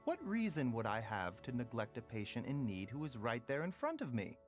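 A man voicing a calm, courteous male doctor in a video game, measured and formal, with music behind. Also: mid-range voice.

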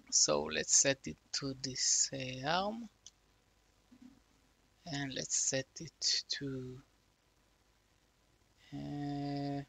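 A man slowly talking through a coding tutorial, thinking aloud with many fillers. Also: on the high side, steady tone, creaky, pushed and bright. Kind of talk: casual screen-recorded coding tutorial.

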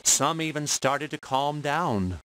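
Slow-paced male children's storybook narrator. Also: fairly high pitch, lively intonation, a bit bright, clear, resonant tone. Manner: measured read-aloud delivery for young children.

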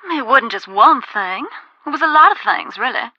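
An actress playing a folksy, cautious former ghost hunter in a video game, with a Southern US accent and a drawling, cautionary manner. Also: female voice, on the high side, expressive, normal speed, pushed and bright, no echo, dull audio, full character voice. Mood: guarded. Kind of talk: video game dialogue, conversational phone-call acting.

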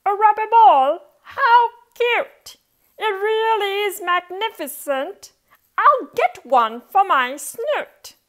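A woman voicing a fawning, excitable storybook prime minister who exclaims in a gushing manner. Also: very high-pitched, takes it slowly, pitched above the performer's own voice, full character voice. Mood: fawning delight.

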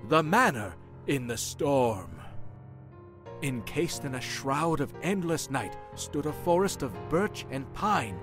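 A man's voice: medium pitch, very animated, slow pace. A man playing a spooky narrator, telling a scripted horror tale with theatrical, ominous phrasing. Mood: ominous storytelling. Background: music.

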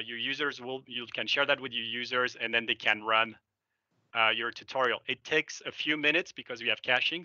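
A middle-aged Belgian man whose first language is French talks through a live product demo, casual and full of fillers. His voice is very bright and forceful. The headset sound is dry and slightly dull. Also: medium pitch, dry recording. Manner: casual and enthusiastic, thinking aloud with many fillers. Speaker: software developer giving a demo. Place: indoor room, seen on webcam.

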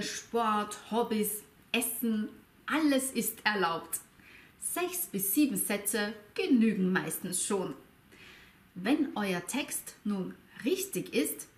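A woman in her fifties teaching a language lesson, speaking slowly and over-articulating every word. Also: fairly high pitch. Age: 50s. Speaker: German teacher. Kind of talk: slow, clear language lesson. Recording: roomy echo.

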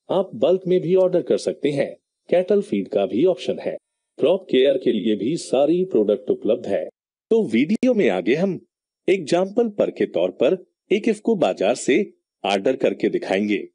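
A man's voice: mid-range voice, mellow, husky, dry recording, clean studio sound. A man hosting a tutorial channel, walking viewers through each click step by step in a screen-recorded voice-over. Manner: instructive, explains each click.

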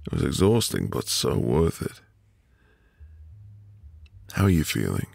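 A man playing a tender, devoted newlywed husband in a soft, intimate, romantic ASMR roleplay with lingering pauses. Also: extremely low pitch, sing-song, creaky, slightly rough, dry recording, exaggerated voice. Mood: adoring, caring. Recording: music behind the voice. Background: music.

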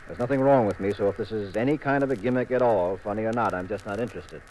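A man's voice: on the low side, quick pace, a little mellow, close to an everyday voice, poor audio. Man playing a wisecracking detective guy, old 50s radio show, wry, a little noise on it. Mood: wary. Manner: wry, hesitant improvising. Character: wisecracking, skeptical married male private detective. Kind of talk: 1950s radio acting.

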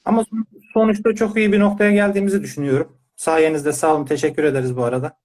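A man's voice: on the high side, little pitch movement, very fast, darkish tone. A man speaking as a parent, giving a conversational testimonial in an interview.

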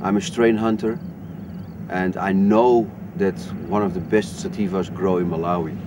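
A man's voice: low voice, steady tone, moderate pace, noisy background. A man from Africa, an expedition leader, talking in a documentary about his travels. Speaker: expedition leader and strain hunter. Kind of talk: documentary narration and on-location talk. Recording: music bed under the voice.

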